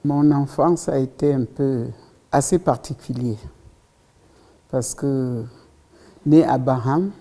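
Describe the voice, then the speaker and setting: A woman's voice: a bass voice, takes it slowly, long pauses, dark tone, big-room sound. A woman in her seventies with a Cameroonian accent, recalling her life in an interview. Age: 70s. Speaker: interview guest, school founder. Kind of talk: personal recollection in an interview.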